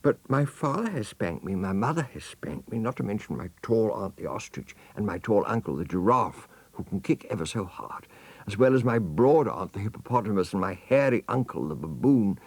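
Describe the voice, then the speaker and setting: A young storybook elephant, pitched way up high and full of lively swoops. The little creature is innocent and endlessly curious, speaking in earnest, over-polite, wordy sentences. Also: male voice, normal speed, creaky, slightly rough, dry recording, full character voice. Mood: polite, pleading. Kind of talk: children's storybook character voice.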